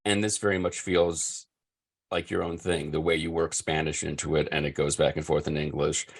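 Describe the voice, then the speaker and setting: A man's voice: extremely low pitch, flat delivery, normal speed, vocal fry, breathy edge. A man hosting a podcast interview, easygoing and rambling, with ums and light teasing. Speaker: podcast host. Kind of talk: casual interview chat.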